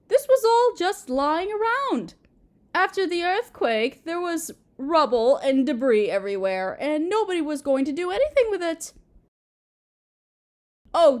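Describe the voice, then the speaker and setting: High, sing-song doting Mother Earth goddess, acted by a woman. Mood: sweetly menacing. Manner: sweet, cooing, motherly, talking as to a small child. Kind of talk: scripted roleplay audio drama.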